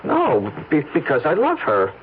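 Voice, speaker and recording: A man's voice: on the high side, wildly swooping pitch, measured pace, narrow, tinny audio. A man playing an earnest, stubborn young husband in an old radio drama, pleading and insistent. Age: young adult. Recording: noisy, thin old radio recording.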